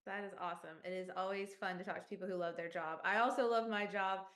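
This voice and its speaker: A woman's voice: medium pitch, steady tone, quick pace, fairly bright tone. Upbeat woman hosting, echoey room. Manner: upbeat, repeats "awesome". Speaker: interview host for an outreach series. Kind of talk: friendly interview hosting.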